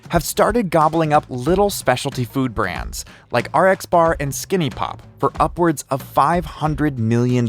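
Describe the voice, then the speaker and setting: A male podcast host narrates in a scripted but conversational style. His pitch moves around a lot, giving the lines a lively bounce. Faint music plays underneath.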